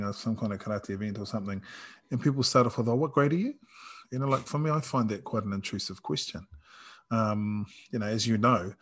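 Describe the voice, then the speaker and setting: A man from New Zealand with a New Zealand accent and a fairly low voice. He talks casually and reflectively, feeling his way through sentences and often restarting to reword a thought. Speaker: karate practitioner in discussion. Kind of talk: casual conversation.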